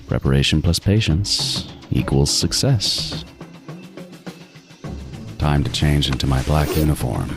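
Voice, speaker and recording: Man playing a very deep-voiced brooding serial killer. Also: creaky, full character voice. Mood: cold, methodical. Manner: deliberate, brooding, gloating. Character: meticulous, obsessive male serial killer, cold and menacing. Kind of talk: first-person horror audio drama narration. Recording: music bed under the voice.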